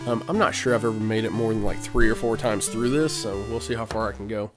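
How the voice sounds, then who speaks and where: A man's voice: lowish voice, fast talker. Guy with a cold chatting through a retro game, lots of ums, music playing behind. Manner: rambling, with frequent fillers and throat clearing. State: has a cold. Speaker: let's play host. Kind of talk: casual gameplay commentary.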